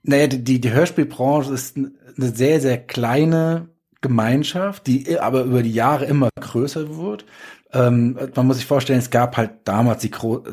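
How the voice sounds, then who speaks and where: A man's voice: medium pitch, moderate pace, darkish tone, husky. A man chatting casually as a podcast guest, explaining his work as a writer with examples. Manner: explains with examples and acted-out quotes. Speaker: guest, audio drama writer.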